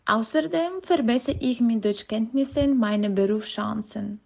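Gravelly, relaxed woman, fairly high. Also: a little slow. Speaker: language student. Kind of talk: prepared formal statement.

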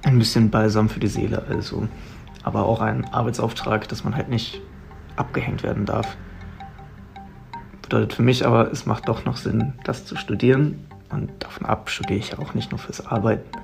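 A male student reflects on his studies in a measured, thoughtful monologue. His low voice is deeply gravelly and stays flat in pitch. Music plays clearly under him. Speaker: student speaker.

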